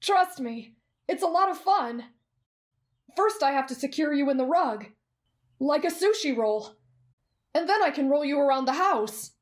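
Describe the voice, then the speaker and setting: A woman playing a kind, polite, earnest teenage boy in an anime fan roleplay, speaking gently and considerately. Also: high-pitched, expressive, unhurried, pauses often. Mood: playful.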